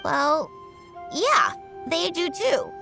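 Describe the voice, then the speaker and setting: A woman's voice: very high-pitched, sing-song, extremely slow, creaky, over music, exaggerated voice. An actress voicing a naive, sulky little boy in a video game, childlike and hesitant. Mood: doubtful, shy.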